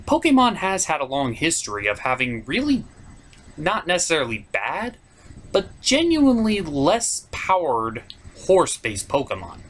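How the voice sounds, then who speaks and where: Animated man hosting a countdown. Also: mid-range voice. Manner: informal, emphatic, with mild swearing. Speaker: host of a top 10 countdown. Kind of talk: opinionated video game countdown commentary.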